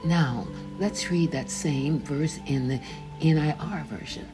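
An older woman reading her own faith memoir aloud, slowly and deliberately, pausing to gloss the names in scripture. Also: deep voice. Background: background music.

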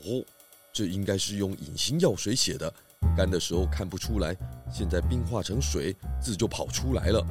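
A man playing a shrewd, middle-aged pig detective, knowing and gently teasing, in a children's audio drama. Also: sits low, lively intonation, normal speed, over music. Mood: sharp, knowing.

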